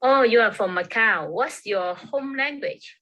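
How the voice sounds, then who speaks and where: A woman's voice: a little high, expressive, a little slow, pushed and bright. A young woman student interviewing a friend for a class recording. She asks questions politely in simple learner's English.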